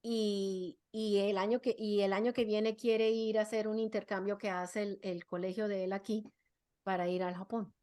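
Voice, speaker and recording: Woman, halting and talkative. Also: medium pitch, flat delivery, dark tone. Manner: restarts and repeats words, mixes in English. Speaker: guest, clinical psychologist and parenting expert. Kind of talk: casual podcast conversation.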